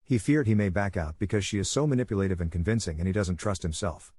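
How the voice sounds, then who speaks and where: A man narrating an online story in a brisk, even reading style. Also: low voice, breathy edge, dry recording, studio quality.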